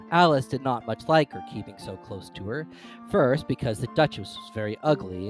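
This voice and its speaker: Expressive storyteller over soft music. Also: mid-range voice. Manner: measured, expressive narration. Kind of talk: dramatic reading of a classic tale. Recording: dry close recording with soft music.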